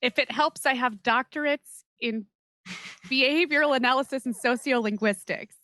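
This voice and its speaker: A woman's voice: high voice, moderate pace, pushed and bright, no room sound, studio quality. A woman playing a tabletop role-playing game, talking casually and improvising in her own voice. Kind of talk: casual talk, partly improvised in character.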